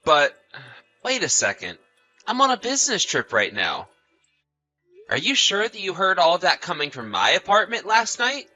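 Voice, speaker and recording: A man's voice: sits high, huge pitch swings, moderate pace, bright, forceful tone, faint music behind, full character voice. A man playing an anxious, trusting husband in a scripted audio story, apologetic and full of questions. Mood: shocked disbelief. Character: anxious, trusting husband often away on business.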